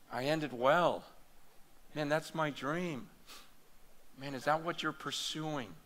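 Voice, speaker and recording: Male pastor, bright forceful voice, fairly high, animated chatty sermon delivery. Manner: animated, loose and conversational, with many fillers and restarts. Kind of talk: conference sermon. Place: large hall or meeting room before an audience.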